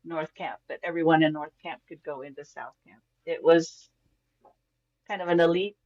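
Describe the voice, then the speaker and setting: A woman's voice: low voice, moderate pace, a little mellow, muffled recording. A middle-aged woman from Venezuela, a podcast guest telling stories of her upbringing in an easygoing, enthusiastic way, with frequent restarts. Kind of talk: casual conversational storytelling.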